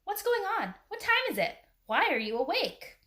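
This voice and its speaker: A woman voicing an eager, excitable young boy adventurer in a children's storybook, full of questions and exclamations. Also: sits high, normal speed, pitched above the performer's own voice, exaggerated voice. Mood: urgent, questioning.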